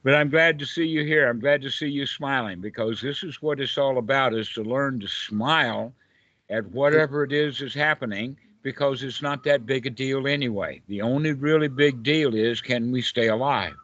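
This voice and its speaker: A man's voice: mid-range voice, moderate pace, a bit bright, no echo. A man with a Southern US accent speaks as a meditation teacher to a small group online. He is emphatic and leans on repeated rhetorical questions. The sound is dull and lo-fi, close and dry, like an internet call.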